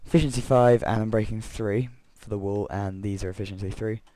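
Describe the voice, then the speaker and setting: A young man with a croaky voice from being unwell, rambling through gameplay commentary and a story, correcting himself often with chuckling asides. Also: fairly low pitch, steady tone, a little fast, mellow. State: croaky voice from illness. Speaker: Minecraft let's player.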